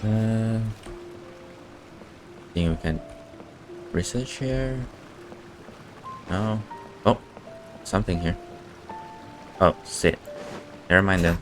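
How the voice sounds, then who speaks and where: A man's voice: fairly low pitch, extremely slow, pauses often. A man streaming a game, giving casual, muttered commentary as he plays. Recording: game music behind the voice.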